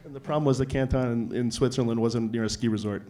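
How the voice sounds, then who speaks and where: A man's voice: medium pitch, fairly even intonation, quick pace, warm tone. Man musing casually as an interviewed investor. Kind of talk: casual reflective panel talk.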